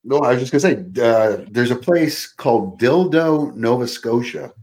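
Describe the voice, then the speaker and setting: A man's voice: medium pitch, animated, moderate pace, darkish tone. A man from Canada chatting casually as a podcast guest, with lots of fillers. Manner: chatty, laughs easily, many likes and you-knows. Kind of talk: casual video-call chat.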